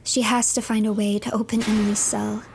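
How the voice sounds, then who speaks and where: A woman narrating a game story from a script, breathy and often close to a whisper. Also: medium pitch, flat delivery, brisk, darkish tone, faint music behind.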